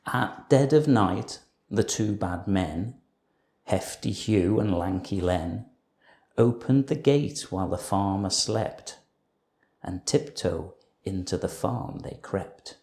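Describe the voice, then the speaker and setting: A man reading a children's storybook aloud in an expressive, emphatic storytelling way. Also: sits low, takes it slowly. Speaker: school principal reading a story. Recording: roomy, echoing room sound.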